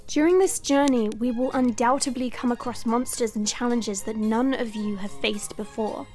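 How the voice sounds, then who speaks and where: A haughty princess, a dragon in human form, acted by a woman, speaks in a high voice. Her delivery is formal and imperious, as in a fantasy audio drama, with music underneath. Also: normal speed, a little mellow, natural voice. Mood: firm. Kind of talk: audio roleplay drama acting. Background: background music.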